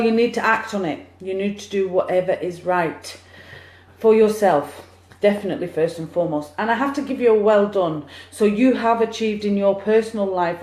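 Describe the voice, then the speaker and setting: Chatty woman medium, phone livestream. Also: mid-range voice. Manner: chatty, excitable, cheering on share counts. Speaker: psychic medium. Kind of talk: live-streamed card reading. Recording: echoey room, phone mic.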